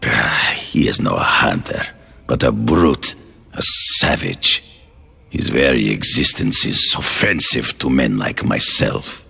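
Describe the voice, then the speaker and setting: An actor playing a proud, honor-bound aging hunter in a video game cutscene, speaking in a grave, measured, formal way, with music behind him. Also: male voice, extremely low pitch, lively intonation, gravelly, pushed and bright, slightly rough, no room sound, exaggerated voice.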